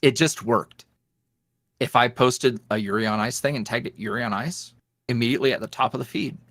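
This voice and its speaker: A man's voice: mid-range voice, brisk, fairly bright tone, dry recording, studio quality. A man being interviewed as a guest, chatting casually and telling rambling stories with frequent laughs. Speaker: ASMR roleplay creator, podcast guest.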